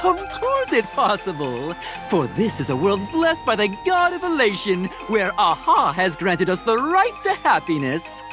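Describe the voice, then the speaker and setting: Syrupy cult recruiter. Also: female voice, medium pitch, wildly swooping pitch, unhurried. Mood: gushing. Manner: gushing, syrupy, preachy. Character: sweet-talking female cult recruiter, theatrical and pious. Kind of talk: game dialogue voice acting. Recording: studio voice with game music behind.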